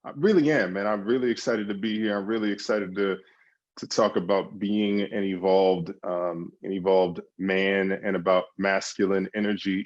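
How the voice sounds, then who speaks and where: Man, podcast guest, emphatic restarts, low voice, muffled lo-fi audio. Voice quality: repeats words, emphatic restarts. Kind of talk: conversational interview answers.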